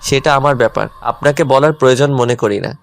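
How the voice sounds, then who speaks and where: A man's voice: medium pitch, fairly quick, creaky, lightly acted. A man playing a hurt, angry, stubborn young husband in a dramatised audio love story. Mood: curt, defiant. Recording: music under the voice.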